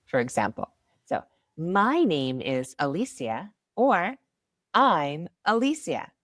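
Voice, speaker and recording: Slow, low-voiced woman teaching English. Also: dry recording, studio quality. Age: 30s. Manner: slow model phrases, bright and upbeat. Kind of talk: slow, clearly enunciated beginner ESL lesson.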